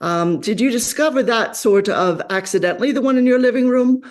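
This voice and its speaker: A man's voice: extremely high pitch, a little fast. A man hosting a talk show, reflectively summarizing his guest's points before asking questions. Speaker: radio talk show host. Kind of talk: interview questions.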